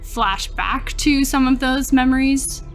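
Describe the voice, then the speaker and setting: A woman taking part in a tabletop roleplaying game, describing scenes in a tentative, halting way. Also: high voice, soft background music. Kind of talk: improvised game narration.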